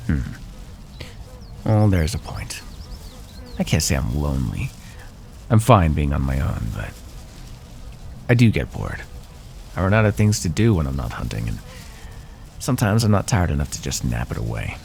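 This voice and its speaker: A man's voice: a bass voice, big pitch swings, normal speed, creaky, pauses often, darkish tone, a little hiss or hum, full character voice. A man voicing a bored, teasing, menacing naga predator in an ASMR fantasy roleplay, speaking with casual, dry condescension. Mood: aloof, amused. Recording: echoey, long cave-like reverb.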